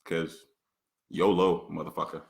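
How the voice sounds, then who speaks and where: A man's voice: low voice, little pitch movement, unhurried, gravelly. A man from Manchester co-hosting a casual livestream chat, joking and crude in a laid-back way. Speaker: co-host. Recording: echoey room.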